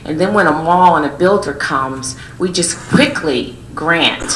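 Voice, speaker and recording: Low-voiced woman pressing council questions. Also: measured pace, a bit bright, echoey room, slight background noise, low-quality recording. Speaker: resident speaking at public comment. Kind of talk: public comment at a council meeting. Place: township meeting hall.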